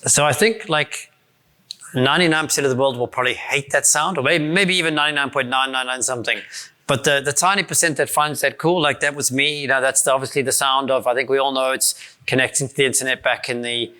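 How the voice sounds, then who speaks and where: A man in his forties from South Africa, with a South African accent softened by years abroad, giving a casual conference talk with many fillers and restarts. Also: mid-range voice, fairly even intonation, fast. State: voice strained from shouting the night before. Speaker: conference speaker. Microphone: handheld or stand mic.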